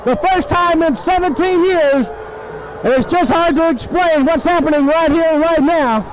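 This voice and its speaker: High-pitched radio football announcer, a man. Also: a little slow, low-quality recording. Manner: excited, emphatic calling in short phrases. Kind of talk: live football play-by-play commentary. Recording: 1970s AM radio sports broadcast.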